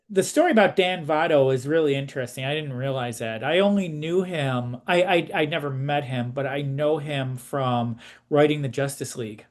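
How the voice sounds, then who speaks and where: A man's voice: fairly high pitch, a little mellow. A man working as an interviewer, speaking in a casual, conversational style.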